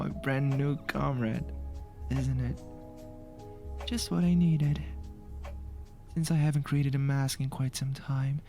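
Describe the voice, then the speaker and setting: Man playing a slow, flamboyant ghoul artist. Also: mid-range voice, warm tone. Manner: theatrical, playful, drawn-out, breathy asides. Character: flamboyant, teasing male ghoul artist and mask maker. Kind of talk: anime fan audio roleplay with ASMR touches. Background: faint music.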